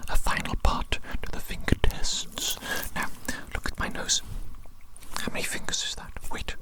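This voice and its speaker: A performer voicing a doting evil doctor in a breathy whisper, cooing slow, repetitive praise in an ASMR medical roleplay. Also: lightly acted. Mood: soothing, clinical. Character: doting evil doctor growing a tiny creature.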